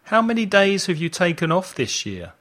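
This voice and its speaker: A man teaching an English lesson as host, enunciating deliberately and didactically, with phrases set out for learners to repeat. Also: sits high, very animated, a bit bright. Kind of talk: language lesson with slow, repeat-after-me delivery.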